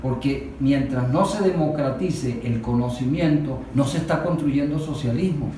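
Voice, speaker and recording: A man's voice: mid-range voice, normal speed, mellow. Echoey room, far from mic, man, political discussion participant. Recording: very echoey, distant mic, faint noise.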